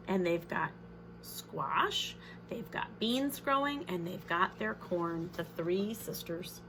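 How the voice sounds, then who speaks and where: Slow, animated woman reading to children. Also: medium pitch, slight background noise. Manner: addresses young listeners as friends, explains simply. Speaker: teacher reading a picture book aloud. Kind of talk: expressive children's read-aloud with explanatory asides.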